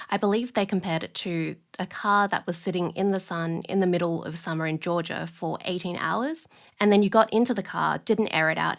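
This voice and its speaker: A woman speaking as an expert guest in an interview, explaining in a measured, matter-of-fact way. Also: on the low side.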